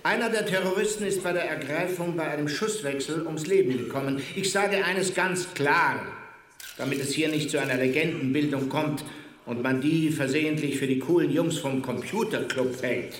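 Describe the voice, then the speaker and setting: A man plays a firm, dismissive chancellor addressing reporters in a radio drama. His voice sits a little high for a man. It rings in a roomy, echoey space with a faint hiss behind. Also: moderate pace, a bit bright, lightly acted. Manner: firm, dismissive public speaker. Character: German chancellor giving a press statement. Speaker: politician.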